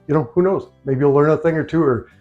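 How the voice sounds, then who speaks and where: A man's voice: a little high, quick pace, dull audio, soft background music. An American man hosting a casual, unscripted DIY vlog from a small home office, talking in an informal, rambling way full of conversational asides. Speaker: video host, DIY speaker builder.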